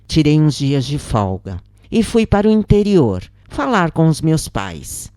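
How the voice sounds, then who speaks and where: A woman narrates a scripted story dramatically. Her voice is low and unhurried, with lively rises and falls in intonation. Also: no echo, clean studio sound.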